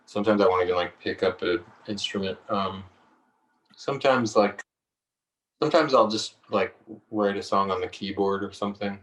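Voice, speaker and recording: A low-voiced man chats hesitantly about songwriting, laughing easily between his thoughts. The sound comes over a video call. It is roomy and a little distant from the mic. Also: moderate pace, fairly bright tone. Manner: hesitant, laid-back, laughs often. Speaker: singer-songwriter guest. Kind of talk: relaxed conversational interview.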